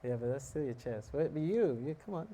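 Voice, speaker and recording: A man giving a relaxed, joking talk on scripture to a live audience, often asking them questions. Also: medium pitch, a little fast, slight background noise. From South Africa. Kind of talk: casual sermon to a live audience.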